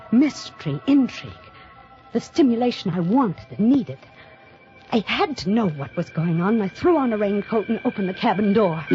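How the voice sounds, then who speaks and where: An actress playing a restless, morbidly thrilled woman narrating her dark tale in intense, dramatic 1950s radio style. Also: on the high side, natural voice. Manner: intense, dramatic, deliberate repetition. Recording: muffled old radio recording with music.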